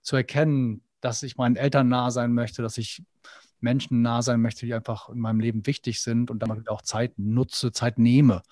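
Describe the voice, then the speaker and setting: A middle-aged man speaking earnestly in a reflective interview, animated and quick to act out the voices in his head. His voice sits at an ordinary middle pitch, recorded close and dry with no room sound. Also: no echo. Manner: earnest, animated, acts out quoted inner voices. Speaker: podcast guest.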